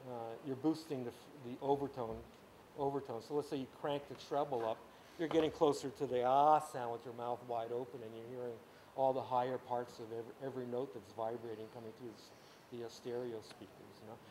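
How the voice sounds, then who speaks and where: A man's voice: mid-range voice, steady tone, a little fast, a little mellow, a little hiss or hum, low-quality recording. Rambling male music teacher explaining overtones. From Ukrainian-speaking background. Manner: explanatory, rambling, many restarts and "you know"s. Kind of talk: informal workshop lecture. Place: workshop room at a camp.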